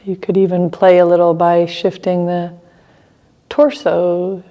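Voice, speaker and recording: Slow, mellow woman guiding meditation. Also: fairly low pitch, level tone, highs cut off. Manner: gentle, unhurried, with long pauses between short phrases. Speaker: meditation teacher. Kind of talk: guided meditation.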